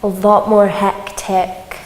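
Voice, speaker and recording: A woman's voice: medium pitch, little pitch movement, slow. A woman chatting casually in an interview as an amateur performer, understated and matter-of-fact. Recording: echoey hall with background noise.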